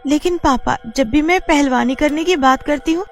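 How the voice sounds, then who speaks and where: A shrill excitable young girl, voiced by a woman. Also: moderate pace. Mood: excited, pleading. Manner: shrill, exclaiming. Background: faint music.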